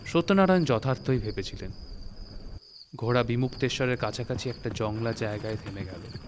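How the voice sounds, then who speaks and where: A man's voice: mid-range voice, moderate pace, noisy background. A man narrating a literary story from a script. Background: prominent music.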